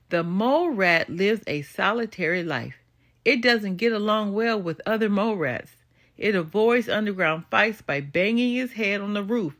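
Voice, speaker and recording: Woman, read-aloud host for kids' books. Animated, varied intonation, mid-pitched, deliberate storybook narration.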